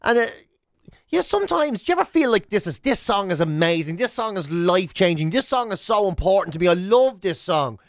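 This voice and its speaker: An Irish man hosting a radio show, telling rambling, animated comic stories in casual banter. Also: high-pitched, a little fast, no echo, studio quality.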